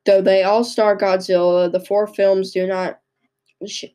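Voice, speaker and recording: A young woman with a cold and a hoarse voice, hosting a podcast and reading her notes in a halting way, often stumbling and restarting. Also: mid-range voice, flat delivery, dark tone. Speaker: podcast host. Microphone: microphone into a laptop.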